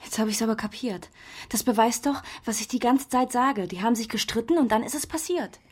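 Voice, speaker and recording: A woman's voice: on the high side, brisk, slightly rough, no echo. A young woman playing a guarded, earnest student in a radio play, speaking in a colloquial, somewhat defensive way. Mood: urgent. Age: young adult.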